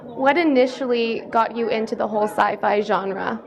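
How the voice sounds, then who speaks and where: Young woman host, upbeat scripted questions, a bit high, crowd chatter behind. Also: normal speed, gravelly, lo-fi sound. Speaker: entertainment host. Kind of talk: red carpet interview questions. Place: awards red carpet.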